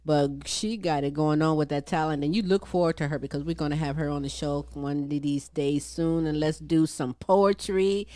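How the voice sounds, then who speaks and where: A woman's voice: deep voice, moderate pace, hardly any pauses, warm tone, dry recording, clean studio sound. A woman hosting a talk show, playful and sassy, stretching out "baby" in casual chat.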